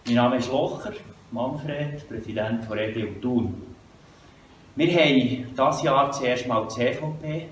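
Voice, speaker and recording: A man's voice: mid-range voice, measured pace, a little hiss or hum. Swiss German male host with a chatty welcome, roomy and off-mic. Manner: informal, chatty announcements. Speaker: event host. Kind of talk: welcome speech. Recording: echoey, distant room sound.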